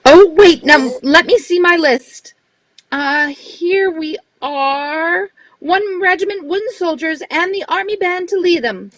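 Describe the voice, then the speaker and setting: A woman's voice: very high voice, takes it slowly, dry recording, poor audio. A woman playing a fussing older Mrs. Santa with a bustling, motherly scolding manner in a live old-time radio play reading.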